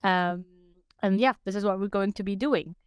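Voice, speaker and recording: A woman's voice: mid-range voice, moderate pace, clear, resonant tone, no echo, studio quality. A woman speaking as a podcast host, introducing questions in a conversational interviewing style.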